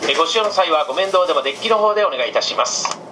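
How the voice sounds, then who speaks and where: A male train conductor gives formal, routine announcements in a bright, forward voice pitched a little high. He sounds polite and practised, coming over the cabin PA with clear train noise behind him. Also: lively intonation, rapid-fire. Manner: polite, formulaic, reciting station lists.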